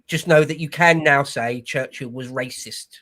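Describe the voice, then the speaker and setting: A man's voice: medium pitch, a little slow, pushed and bright. A British man co-hosting a political show, giving casual, opinionated commentary in a blunt, scornful way.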